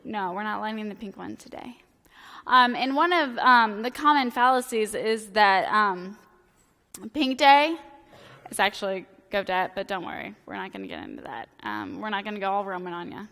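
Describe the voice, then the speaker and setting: Hoarse, bright-voiced woman preaching casually. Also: medium pitch, animated, moderate pace. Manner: chatty and self-deprecating, full of "um" and "like". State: hoarse, losing her voice. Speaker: priest. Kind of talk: casual, joking sermon. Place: church sanctuary. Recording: long church reverb.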